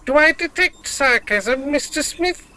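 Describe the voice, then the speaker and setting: A loyal robot dog in a sci-fi audio drama. The voice is high and swooping, with slow, stilted, formally precise phrasing. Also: female voice, lively intonation, sharp tone, slight background noise, heavily acted. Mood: puzzled.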